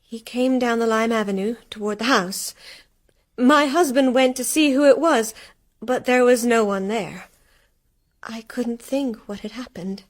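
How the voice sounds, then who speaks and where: An actress in a radio drama playing an anxious, stricken wife who speaks in a hushed, intense way. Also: female voice, a little high, unhurried, natural voice. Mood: hushed dread. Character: anxious, stricken wife uncovering a ghostly truth.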